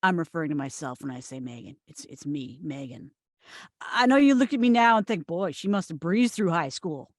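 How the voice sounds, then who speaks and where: A woman in a comedic script read, playing a blunt, pushy, oddball friend with swaggering confidence and emphatic, deadpan delivery. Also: sits low, fairly quick, no echo, studio quality. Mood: earnest boasting. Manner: emphatic, forceful, deadpan boasting. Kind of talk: screenplay table read, comedic acting.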